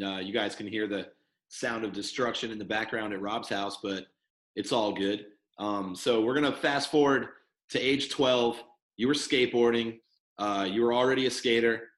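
A man's voice: fairly low pitch, fairly even intonation, normal speed, fairly bright tone, echoey room. A man hosting a casual podcast, talking loosely with lots of fillers and restarts. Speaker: podcast host. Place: studio headquarters room.

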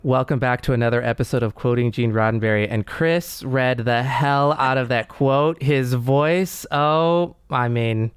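A man co-hosts a podcast with an effusive, chatty delivery. His voice is smooth and clear at a middling pitch, captured dry and close. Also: steady tone, unhurried, few pauses, no echo. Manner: enthusiastic, effusive. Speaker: podcast co-host. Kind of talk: casual podcast conversation.

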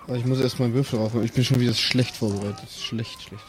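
A man's voice: medium pitch, steady tone, racing. A man chatting casually and jokingly as a player in a tabletop role-playing game. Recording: clear background noise.

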